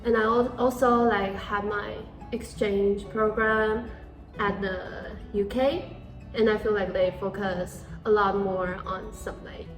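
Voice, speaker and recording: Casual young non-native host, mid-pitched, roomy echo, faint music. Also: female voice, fairly even intonation, takes it slowly. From Taiwan. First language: Mandarin Chinese. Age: 20s. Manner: casual, many "like" fillers, switches between Mandarin and English. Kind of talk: casual Q&A chat.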